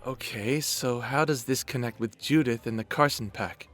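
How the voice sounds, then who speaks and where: A man's voice: medium pitch, normal speed, a bit bright, faint music behind, natural voice. A man playing an earnest, level-headed male werewolf in an audio drama, speaking in a straightforward way. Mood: earnest, serious.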